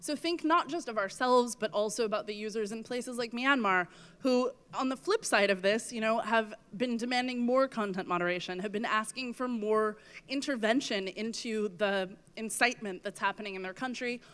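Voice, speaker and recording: A woman's voice: on the high side, normal speed, fairly bright tone, clean tone. A woman in her thirties giving a festival talk on stage with a handheld mic, losing her voice, earnest and self-correcting. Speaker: festival speaker. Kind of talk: conference talk. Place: large festival tent stage.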